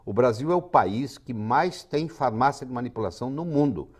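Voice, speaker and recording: A man's voice: medium pitch, animated, normal speed, breathy edge. A man in his sixties with a Brazilian accent, a physician giving confident, lecturing explanations as an interview guest. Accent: Brazilian Portuguese. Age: 60s.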